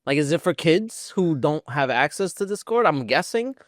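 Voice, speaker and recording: A man's voice: on the high side, animated, moderate pace, no room sound, studio quality. A man co-hosting a podcast, chatting informally and bluntly. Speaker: podcast co-host. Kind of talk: casual chat.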